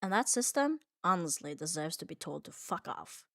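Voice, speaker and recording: A woman giving a calm, reassuring ASMR comfort monologue in an unhurried, soothing way. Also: fairly low pitch, vocal fry, no room sound, clean studio sound. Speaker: ASMR audio creator.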